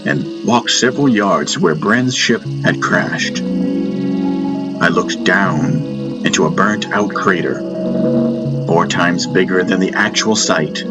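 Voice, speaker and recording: A man narrating a first-person fantasy audiobook in measured storytelling fashion. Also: low voice.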